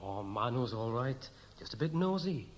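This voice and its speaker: Young Welsh groom male actor, easygoing but a bit defensive, animated intonation, radio drama. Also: medium pitch, moderate pace, natural voice. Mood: mild, placating. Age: 20s. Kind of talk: 1960s radio drama acting.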